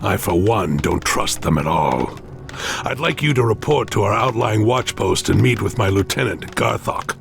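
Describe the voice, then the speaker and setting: Wary, heavily creaky orc officer. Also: male voice, fairly low pitch, animated, moderate pace, slight background noise. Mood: suspicious. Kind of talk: video game character acting.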